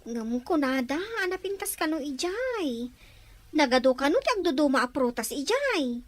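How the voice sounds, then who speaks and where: Eager, very high-voiced child. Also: female voice, sing-song, normal speed, dry recording. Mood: wide-eyed, curious. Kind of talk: Ilocano radio drama acting.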